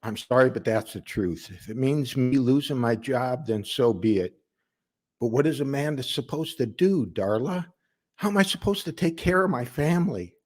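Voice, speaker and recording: A man playing an earnest, desperate working father in a halting, emotional dramatic monologue. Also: medium pitch, animated, pitched above the performer's own voice, close to an everyday voice. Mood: defensive, pleading.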